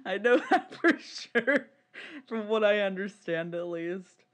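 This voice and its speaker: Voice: mid-range voice, expressive, takes it slowly, fairly bright tone. A podcast co-host chatting casually in a rambling, candid, giggly way.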